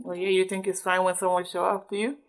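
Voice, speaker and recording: Female read-aloud teacher, lower register, patient and explanatory, checks understanding often. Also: little pitch movement, quick pace. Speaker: adult reading a book with a child.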